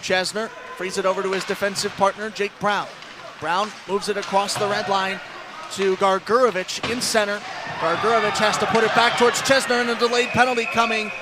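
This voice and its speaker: A man's voice: high-pitched, level tone, brisk. An American man calling live ice hockey play-by-play as a radio announcer. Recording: clear rink noise behind commentary. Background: ice hockey game noise.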